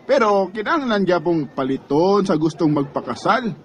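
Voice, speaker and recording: An actor playing a rowdy, teasing teenage classmate in a radio drama, with a boisterous manner and a Cebuano accent. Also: male voice, sits high, sing-song, moderate pace, slight background noise. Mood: gleeful, teasing. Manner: boisterous, laughing. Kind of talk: Bisaya radio drama acting.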